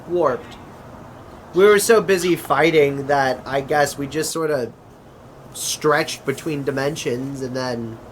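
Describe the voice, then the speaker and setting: A man acting a chatty interdimensional adventurer in a conversational audio drama, with a halting, hesitant delivery. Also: a little high, a little hiss or hum.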